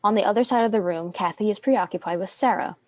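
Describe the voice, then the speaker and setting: A woman's voice: medium pitch, fast talker, darkish tone, no room sound. A young adult woman reading scripted stage directions as a narrator.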